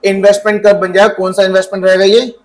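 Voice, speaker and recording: Male teacher, high voice, quizzes the class and answers himself. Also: flat delivery. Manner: asks students questions and answers them himself; reads definitions from the book slowly. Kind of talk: classroom economics lesson.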